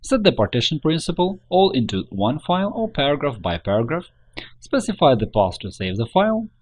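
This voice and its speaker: A man narrates a scripted how-to tutorial as its presenter. His pitch moves up and down in a very animated way as he explains each step. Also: brisk.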